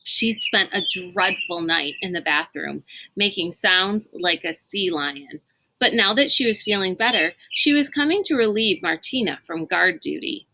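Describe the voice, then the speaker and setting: A woman reading a storybook aloud in an expressive, dramatic narrating style. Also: on the low side, unhurried, creaky, poor audio. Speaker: reader of a read-aloud book.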